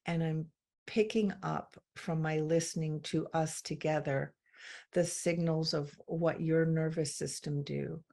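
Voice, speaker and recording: A woman from England, a guest in a conversation, speaking reflectively with frequent pauses and ums. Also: sits low, fairly even intonation, vocal fry, a little mellow. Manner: slow, thoughtful, with many pauses and ums. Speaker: interview guest.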